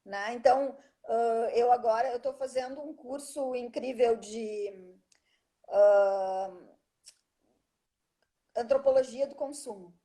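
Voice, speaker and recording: A woman's voice: a little high, takes it slowly, pauses often, mellow. A middle-aged woman, a teacher chatting as an interview guest and telling a story at length, often checking in with the listener. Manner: chatty storytelling, frequent "né?" tag questions. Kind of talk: casual interview conversation.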